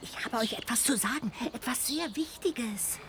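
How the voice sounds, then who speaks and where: A woman voicing a small, contrite, childlike ghost in a children's radio play, earnest, lamenting and expressive. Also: on the high side, normal speed, background noise, natural voice. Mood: hushed, coaxing. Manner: expressive, lamenting, swinging between exclamations and hushed confiding.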